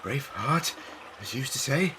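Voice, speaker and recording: Man acting an animated eccentric adventurer, noisy backdrop. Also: mid-range voice, normal speed, close to an everyday voice. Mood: wry, anxious. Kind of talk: fan audio drama acting.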